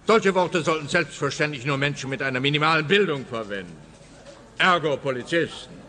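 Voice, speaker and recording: A man's voice: on the high side, animated, fairly quick, pushed and bright, big-room sound. A man playing a pompous, self-satisfied police detective in a radio play, lecturing with emphasis. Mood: smug lecturing. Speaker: supporting actor.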